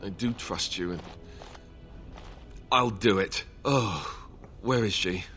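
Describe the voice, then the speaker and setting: A man's voice: on the low side, slow pace, a bit bright, faint music behind. A man voicing a young warrior in a video game, wry and earnest, an awkward reluctant leader. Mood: reluctant, uneasy. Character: young male warrior, awkward, sardonic, reluctant heir turned rallying leader. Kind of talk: video game dialogue acting.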